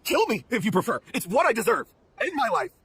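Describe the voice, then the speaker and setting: An actor playing a guilt-ridden, cowardly stage performer, earnest and hesitant, in a dramatic video game. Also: male voice, extremely high pitch, huge pitch swings, fast talker, fairly bright tone, husky, full character voice. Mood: anguished remorse. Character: guilt-ridden, cowardly male theatre troupe member.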